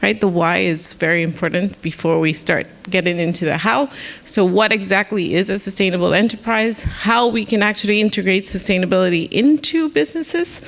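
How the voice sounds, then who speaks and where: A woman with a Saint Lucian accent, a professor leading an informal workshop in a relaxed, chatty way. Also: mid-range voice, vocal fry. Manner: relaxed, chatty, inviting questions.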